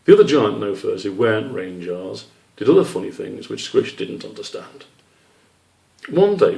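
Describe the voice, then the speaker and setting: Male author narrating children's storybook, creaky low register, roomy sound. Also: a little mellow. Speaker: author reading his children's book. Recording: roomy echo, distant microphone.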